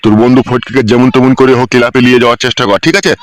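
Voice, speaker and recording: A man's voice: mid-range voice, little pitch movement, racing, natural voice. A man playing a blunt, scolding village uncle in a comedy cartoon, speaking colloquially with a Bengali accent. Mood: grave, plotting.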